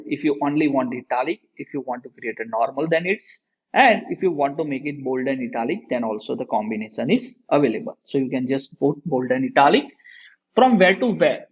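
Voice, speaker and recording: A man with an Indian accent lecturing through a software tutorial step by step, often checking in with short tag questions. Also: mid-range voice, brisk. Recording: dull lo-fi microphone sound.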